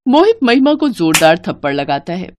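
A woman's voice: fairly low pitch, very animated, moderate pace, darkish tone, a little hiss or hum. A woman narrating a scripted cartoon story in a dramatic storytelling style.

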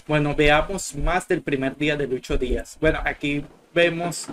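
A man's voice: on the high side, steady tone, normal speed, a crowd in the background. Young man chatting about football clips. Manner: chatty, rambling with restarts. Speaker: football channel host. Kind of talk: casual video commentary. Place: small room at a desk.

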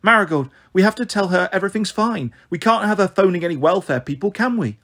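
A man's voice: high-pitched, lively intonation, fast talker, a bit bright, pitched above the performer's own voice, heavily acted. A man voicing an anxious young girl in a storybook, urgent and pleading. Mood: desperate.

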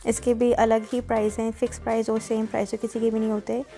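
Chatty female vlogger, mellow tone, light music underneath. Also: fairly high pitch, little pitch movement, fast. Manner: chatty, explains prices step by step. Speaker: vlogger touring a market. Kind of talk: casual voice-over narration.

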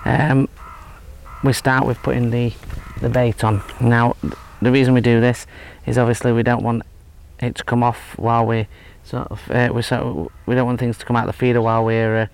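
Man, thirties, angling instructor, casual and halting, monotone delivery, lakeside outdoors. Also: mid-range voice, creaky, clear, resonant tone. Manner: relaxed, hesitant, many fillers and restarts. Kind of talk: casual fishing tutorial. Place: outdoors at a lakeside fishing spot. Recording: faint outdoor background noise. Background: faint outdoor ambience.

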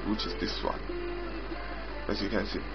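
A low-voiced man walks through a piece of software he built, speaking off the cuff. He explains in short, halting phrases and often repeats himself. The audio is dull and lo-fi, with loud music playing behind him. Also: little pitch movement. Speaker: software developer demonstrating own app. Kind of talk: unscripted screen-recording software walkthrough.

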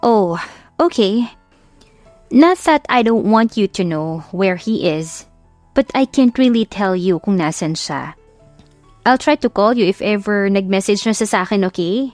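A woman's voice: medium pitch, animated, measured pace, darkish tone, no echo, faint music behind, natural voice. A woman voicing a smug, mocking, faux-sweet young rich woman in an audio drama, coyly taunting and slipping into English. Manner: coy taunting, code-switching into English. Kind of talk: audio drama storybook acting.

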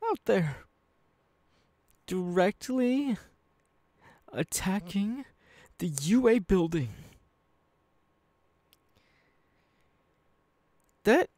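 A man's voice: high-pitched, creaky, a little mellow, no room sound, heavily acted. A man playing a menacing, mocking young villain boss in an ASMR roleplay, taunting and sometimes sinking into a whisper. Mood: taunting, angry. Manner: taunting, drawn-out, dropping into whispers. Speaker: voice actor.

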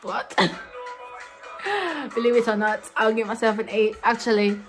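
A woman's voice: fairly high pitch, animated, slow pace, over music. A young woman from Ghana vlogging to camera in a small room, chatting playfully and laughing often. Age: young adult. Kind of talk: casual chat to camera.

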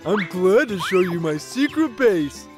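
A woman's voice: medium pitch, very animated, unhurried, over music. A woman voicing a cheerful, boastful young panda in a children's cartoon, eager and reassuring.

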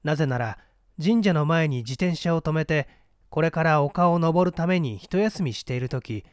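A man's voice: on the high side, normal speed, no room sound, studio quality, lightly acted. A man playing an unruffled young man in his twenties, giving matter-of-fact testimony in a mystery audiobook.

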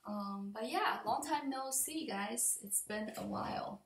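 Slow, creaky-voiced young woman vlogger. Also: a little high. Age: young adult. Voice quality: slightly raspy. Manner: hesitant, halting, rambling. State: raspy from allergies. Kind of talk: unscripted casual update to camera. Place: indoor open kitchen and living room. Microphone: computer mic at desk distance. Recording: echoey room, computer mic.

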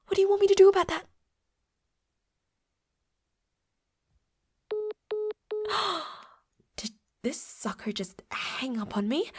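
Woman acting a lively, breathy vampire roommate. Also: on the high side, full character voice. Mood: exasperated. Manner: stammering, playful, breathy asides in whispers. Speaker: voice actor. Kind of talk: flirty audio roleplay acting.

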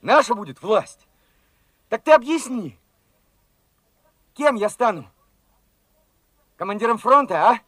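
Radio drama youth, about twenty: high voice, unhurried pace, eager, plain-spoken dreamer. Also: male voice, animated, a bit bright, no room sound, full character voice. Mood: eager, hopeful. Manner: earnest, plain-spoken, questioning. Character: eager, naive twenty-year-old revolutionary working lad, dreaming of glory. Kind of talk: Soviet radio drama acting.

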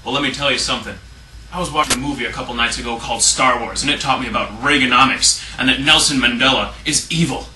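A man's voice: medium pitch, quick pace, sharp tone. A young man playing a folksy, confident president giving speeches in an amateur comedy skit, declamatory. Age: young adult. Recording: echoey, distant, noisy camcorder audio.

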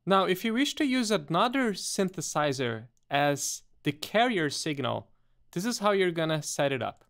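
A man presenting a step-by-step software tutorial in a methodical, explanatory way. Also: fairly high pitch, very animated, normal speed.

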